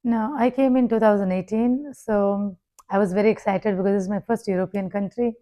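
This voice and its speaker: A woman's voice: mid-range voice, fairly even intonation, fairly quick, dark tone. A woman from India speaking briefly and plainly as a podcast guest. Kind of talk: casual panel discussion. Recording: dull lo-fi sound.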